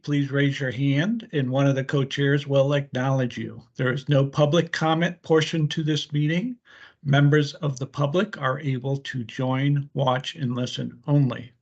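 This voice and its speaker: A man chairing a formal online committee meeting as a co-chair, working through procedure. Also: medium pitch. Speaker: meeting co-chair, government agency staffer. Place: indoors on a video call. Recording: dull, lo-fi video call audio.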